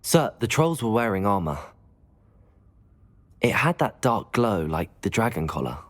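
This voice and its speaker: A young actor playing a brave wizard student in a game, polite and earnest. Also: male voice, fairly low pitch, sing-song, unhurried. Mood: urgent earnest.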